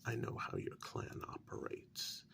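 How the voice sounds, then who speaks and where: A man playing a stern, sardonic interrogator of a captive vampire in a soft-spoken ASMR role-play, halting and probing, drifting into whispers. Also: slight background noise, lightly acted. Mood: stern, exasperated.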